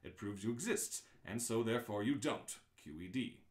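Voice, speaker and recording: A man's voice: fairly low pitch, normal speed, lightly acted. A man playing the dry, erudite narrator of a comic science-fiction guidebook, explaining things wryly in a radio play. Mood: wry, explanatory. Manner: explanatory, wry. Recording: roomy, echoing live-stream audio.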